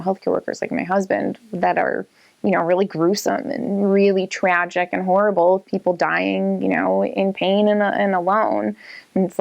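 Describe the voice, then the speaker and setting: A woman's voice: fairly low pitch, gravelly, darkish tone, no room sound. A woman talking candidly and casually in an interview, on a slightly dull recording.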